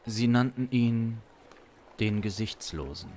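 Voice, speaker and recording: A man in his thirties improvising a crime story as narrator, deliberate and suspenseful, with long pauses, close on a studio mic. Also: mid-range voice, darkish tone, slight background noise. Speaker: improvising audio-drama narrator. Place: small studio room. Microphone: close studio condenser mic. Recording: music under the voice. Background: instrumental music.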